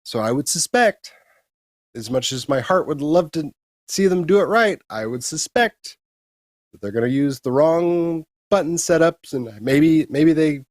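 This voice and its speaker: A man's voice: a little high, expressive, a little slow, no room sound, studio quality. A man co-hosting a retro gaming show, giving blunt, emphatic opinions in casual talk with some crude slang.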